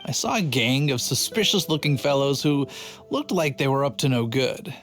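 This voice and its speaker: A man's voice: mid-range voice, animated, moderate pace, fairly bright tone. A man voicing an earnest, boastful but timid city guard in a video game, telling things with drama. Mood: dramatic. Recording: faint music behind the voice.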